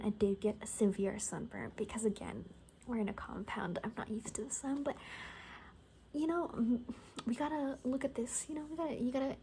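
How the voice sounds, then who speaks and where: Soft, half-whispering woman in ASMR roleplay. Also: on the high side, a little hiss or hum, poor audio. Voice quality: soft, breathy, often whispering. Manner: rambling, gossipy, hesitant casual delivery. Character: cocky, gossipy young woman survivor of zombie apocalypse. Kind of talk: soft-spoken ASMR roleplay, improvised chat.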